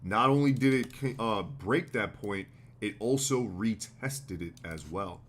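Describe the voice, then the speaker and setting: An American man with a slightly hoarse voice, hosting a live trading stream in a relaxed, slangy way. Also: on the low side, takes it slowly. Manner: relaxed, loose, slangy explaining with fillers. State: slightly hoarse, getting sick. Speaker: trading livestream host. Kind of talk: casual live market commentary.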